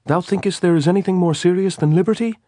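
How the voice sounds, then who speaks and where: A man voicing an excitable, hot-headed male anarchist militiaman who speaks loudly and fervently. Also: mid-range voice, fast, dry recording, clean studio sound, pitched above the performer's own voice, lightly acted. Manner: loud, fervent and challenging.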